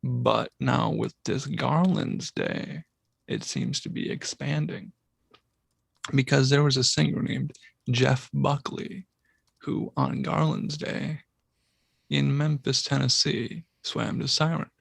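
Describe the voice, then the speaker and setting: Gravelly, husky man musing. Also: medium pitch, takes it slowly, dry recording. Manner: speculative, musing on synchronicities. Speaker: podcast co-host. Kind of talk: casual podcast talk.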